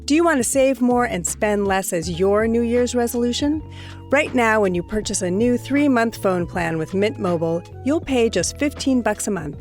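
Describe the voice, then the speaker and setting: A woman's voice: a little high, lively intonation, moderate pace, faint music behind. A woman hosting a show delivers a scripted sponsor message, addressing the listener directly with questions. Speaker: podcast host reading sponsor ad. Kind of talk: scripted host-read advertisement.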